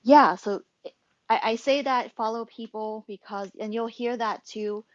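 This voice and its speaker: A woman's voice: on the high side, steady tone, unhurried, no echo, dull audio. A woman hosting a casual social audio room, talking in a loose, rambling way with many fillers and restarts. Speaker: host and club moderator.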